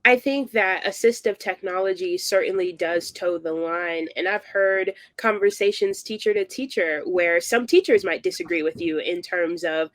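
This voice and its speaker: A man's voice: high-pitched, normal speed, hardly any pauses, fairly bright tone, dry recording. A man hosting an education podcast, asking questions and framing ideas in fluent, easygoing sentences. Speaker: podcast host. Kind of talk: casual interview conversation.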